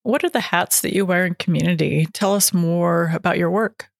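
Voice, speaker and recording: A woman's voice: lowish voice, moderate pace, vocal fry, no room sound, clean studio sound. A woman hosting a talk podcast, introducing guests and putting questions to them. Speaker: podcast host. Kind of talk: welcoming guests, reading bios.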